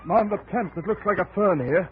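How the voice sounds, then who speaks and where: A man acting a seasoned, hard jungle guide with a gruff, domineering manner in a 1950s radio drama. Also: sits high, brisk, close to an everyday voice. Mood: watchful. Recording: scratchy, narrow-band 1950s radio recording.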